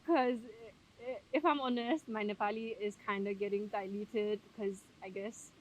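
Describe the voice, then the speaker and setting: A woman's voice: mid-range voice, steady tone, unhurried, highs cut off, slight background noise. A woman hosting a casual interview podcast, talking in a chatty way with frequent fillers. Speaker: podcast host.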